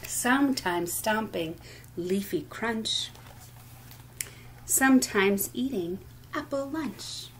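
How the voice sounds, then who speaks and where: A woman hosting a children's story time, reading a picture book aloud expressively in short, deliberate phrases. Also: mid-range voice, lively intonation, slight background noise.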